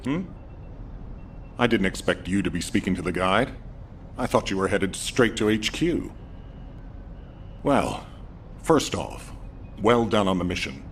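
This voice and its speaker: An actor playing a stern, wary middle-aged commander in a video game, measured and authoritative. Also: male voice, low-pitched, lively intonation, a little hiss or hum. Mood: grave, wary. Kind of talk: scripted video game acting.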